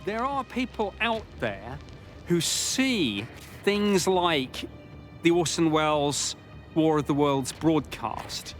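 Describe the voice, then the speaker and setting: Slow-talking, higher-pitched male commentator. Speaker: documentary commentator. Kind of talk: unscripted interview commentary. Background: music.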